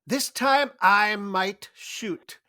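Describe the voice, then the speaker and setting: An actor playing a prim, over-polite, menacing crook in a 1940s-style radio play, speaking with mannered precision. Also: male voice, high-pitched, drawn-out words, sharp tone, full character voice. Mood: politely menacing.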